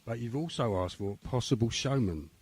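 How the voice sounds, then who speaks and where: A man's voice: mid-range voice, lively intonation, moderate pace, a little mellow, husky. A man co-hosting a podcast, putting questions to a guest in a casual style. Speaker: podcast co-host.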